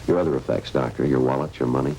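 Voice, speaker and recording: An actor playing a terse, businesslike American police detective who narrates in a clipped, matter-of-fact way in a radio drama. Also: male voice, a bass voice, fast, warm tone, slight background noise, low-quality recording. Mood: businesslike questioning. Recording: old radio recording, music behind.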